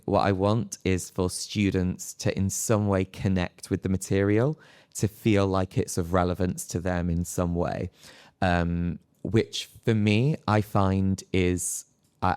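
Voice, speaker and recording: A man's voice: low-pitched, a little mellow, smooth, dry recording, studio quality. A man speaking as an academic panelist in a live discussion, phrasing his thoughts in a hesitant, reflective way. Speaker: panelist, psychology lecturer.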